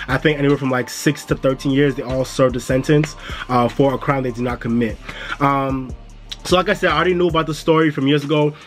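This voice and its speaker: A man's voice: medium pitch, fairly even intonation, quick pace, soft background music. A man hosting a casual review vlog, laid-back and chatty, calling his viewers "y'all". He sounds a bit stuffy because he is coming down with a cold. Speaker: music and entertainment YouTuber.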